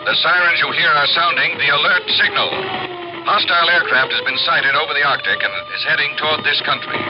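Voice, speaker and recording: An American actor playing a composed but urgent civil defense announcer, giving clipped, authoritative instructions in the manner of a 1950s radio bulletin. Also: male voice, a little high, close to an everyday voice. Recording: dull vintage radio recording with loud music.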